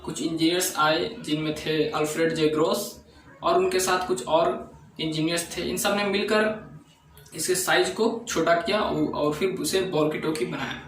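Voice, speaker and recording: A man's voice: a little high, fairly even intonation, moderate pace, fairly bright tone. A man giving an informal explainer as a presenter, often repeating and rephrasing himself. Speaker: tech channel presenter. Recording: echoey room with background noise.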